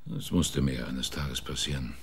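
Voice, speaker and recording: An actor playing a laconic private detective in a radio drama, giving dry, evasive answers. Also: male voice, a bass voice, unhurried, slightly rough, exaggerated voice. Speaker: private investigator.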